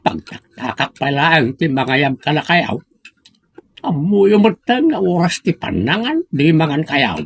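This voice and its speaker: A man acting a stubborn, bossy old family father in a comedic audio drama, grumbling in an Ilocano accent with a husky voice. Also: on the high side, very animated, a little slow, heavily acted. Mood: irritated.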